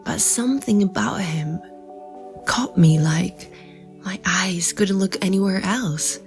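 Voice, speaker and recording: Woman acting slow, wistful woman with creaky voice. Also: mid-range voice, soft background music. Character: wistful woman recalling a mysterious seaside memory. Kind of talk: intimate audio drama acting.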